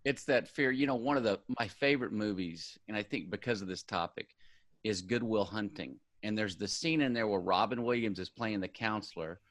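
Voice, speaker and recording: A man's voice: on the low side, moderate pace, fairly bright tone, no room sound, clean studio sound. A man hosting an interview, talking in an earnest and emphatic way. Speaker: show host. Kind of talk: conversational interview.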